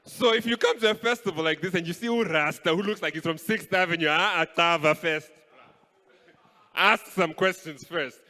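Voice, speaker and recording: A young man from Kenya doing live stand-up comedy on a stage mic, playful and animated. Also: on the high side, moderate pace. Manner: animated, playful, switches voices. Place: stage in a large hall. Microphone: handheld stage mic. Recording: live hall with long reverb.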